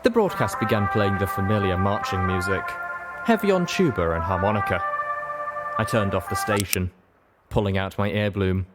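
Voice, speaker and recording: A man's voice: fairly low pitch, expressive, normal speed, loud music behind the voice. A man reading scripted first-person fiction as an audiobook narrator.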